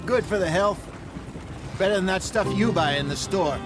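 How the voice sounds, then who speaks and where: Man playing a weary old outlaw, high-pitched. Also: moderate pace, loud music behind the voice, natural voice. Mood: quiet, knowing. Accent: American. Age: older. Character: weary, wry old male outlaw, worried elder. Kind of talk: naturalistic video game acting.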